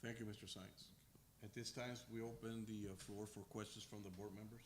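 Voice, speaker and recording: A man presiding over a city board meeting, moving the agenda along in a brisk, procedural way. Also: lowish voice, flat delivery. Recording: echoey room with background noise.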